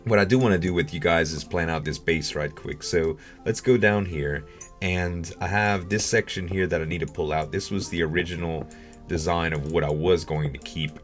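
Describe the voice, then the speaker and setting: A man's voice: deep voice, moderate pace, soft background music. A man giving casual gaming commentary as a video host, rambling and thinking aloud.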